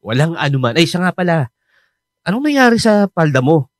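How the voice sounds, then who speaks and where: A Filipino man acting a brash, cheeky high school student of about twenty in a radio drama. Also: big pitch swings, a little fast, no room sound, studio quality. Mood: relaxed, friendly. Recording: music under the voice.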